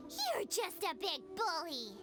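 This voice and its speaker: Tiny feisty fairy sidekick for a video game; extremely high, childlike, slow and stretched, over music. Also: female voice, wildly swooping pitch, full character voice. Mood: indignant. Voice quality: squeaky, childlike.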